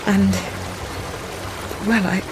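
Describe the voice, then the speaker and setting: A woman's voice: very slow, background noise. An actress playing an earnest, troubled woman psychiatrist, tender but guarded in a radio drama. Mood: anxious, tearful.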